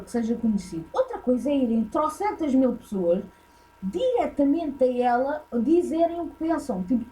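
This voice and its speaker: A woman's voice: high voice, dark tone, rough recording. A woman with a European Portuguese accent, hosting a casual reaction video and giving blunt, opinionated commentary full of fillers.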